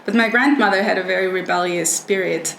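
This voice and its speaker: A woman's voice: on the low side, vocal fry, a bit bright. Woman, middle-aged, reflective storyteller; roomy home recording with light background noise. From Austria. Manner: thoughtful, with frequent "um" pauses. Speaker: professor. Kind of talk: personal food storytelling. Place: her home. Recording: echoey room with faint noise.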